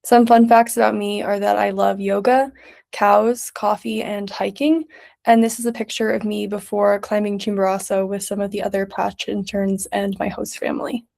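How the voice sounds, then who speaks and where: Young woman doing a student presentation, kinda creaky voice, reads off her notes step by step. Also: mid-range voice, level tone, normal speed, a little mellow. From New York City. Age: young adult. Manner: clear, methodical, reading from prepared notes. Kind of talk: prepared slideshow presentation.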